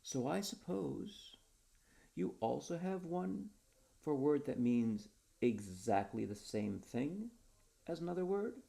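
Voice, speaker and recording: A man's voice: fairly high pitch, lively intonation, slow, gravelly, warm tone, pitched above the performer's own voice, full character voice. A man voicing an eager, whimsical wordsmith in a storybook tale, talkative and theatrical like a salesman. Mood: eager pitching. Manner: theatrical, earnest salesman patter.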